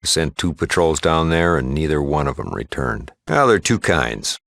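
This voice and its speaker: A male actor playing a gruff, plain-spoken small-town shopkeeper and lawman in a video game, with a gravelly American voice and a folksy, matter-of-fact manner. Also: animated, moderate pace, fairly bright tone, no echo, full character voice. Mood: wry, matter-of-fact. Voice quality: gravelly, low. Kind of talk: video game character dialogue.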